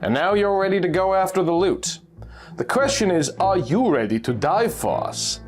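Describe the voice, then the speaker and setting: A man voicing a shrewd, confident Austrian ex-soldier in a dramatic pulp story reading, explaining things in a deliberate, conspiratorial way. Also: high voice, expressive, soft background music, pitched above the performer's own voice. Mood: commanding.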